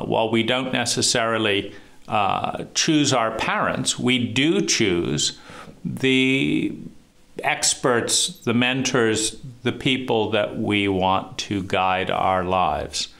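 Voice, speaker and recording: A man with a deep voice giving a reflective monologue, talking slowly and deliberately with frequent pauses and fillers. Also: creaky, a bit bright. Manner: slow, deliberate, pausing often with fillers. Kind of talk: reflective monologue on trauma and psychology.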